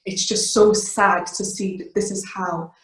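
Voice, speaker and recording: A woman's voice: mid-range voice, fairly even intonation, measured pace, roomy sound, low-quality recording. Earnest teenage woman. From Wales. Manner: earnest, many fillers and restarts. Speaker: youth ambassador. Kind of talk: personal talk to an online event.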